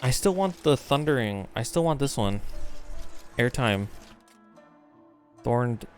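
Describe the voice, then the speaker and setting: Animated man streaming a video game. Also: medium pitch, takes it slowly, faint music behind. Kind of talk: casual gameplay commentary with swearing.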